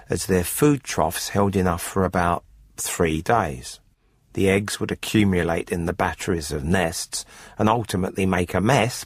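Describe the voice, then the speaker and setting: A smug farmer with murder on his conscience, narrating a radio drama. His voice is low and slightly husky, with measured, formal phrasing and a dry, coolly calculating air. The sound is close and dry, with music behind him. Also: dry recording.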